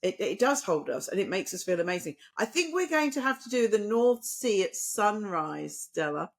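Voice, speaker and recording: Podcast host, woman, mid-range voice, conversational and keen, slightly halting phrasing with repeats. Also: medium pitch, big pitch swings. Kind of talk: casual conversational interview.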